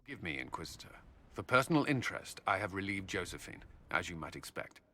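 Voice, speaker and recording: A stern, bright-voiced commander. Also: male voice, low-pitched, big pitch swings, full character voice. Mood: grave. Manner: firm, measured and formal. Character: stern male military commander, disciplined and principled. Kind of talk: video game voice acting.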